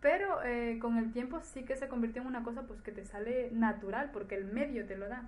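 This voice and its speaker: The guest on a migration podcast, a woman with a fairly high voice and a mixed Ecuadorian and Spanish accent, telling her story casually. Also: fairly quick. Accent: Ecuadorian with Spanish influence. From Ecuador, later grew up in Spain. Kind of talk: casual conversation, personal recollection.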